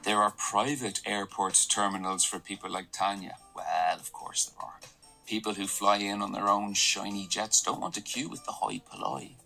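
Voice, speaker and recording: A man's voice: lowish voice, steady tone, normal speed, gravelly, pushed and bright, soft background music. An actor in a radio drama playing a sardonic male office analyst in his thirties, narrating and talking in a dry, wry way. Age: 30s.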